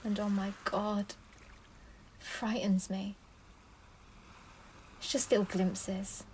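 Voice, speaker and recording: A woman's voice: mid-range voice, flat delivery, darkish tone, a little hiss or hum, lightly acted. An actress playing an anxious, protective mother, confiding and reflective in a naturalistic audio play. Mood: tender, worried. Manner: confiding, unhurried.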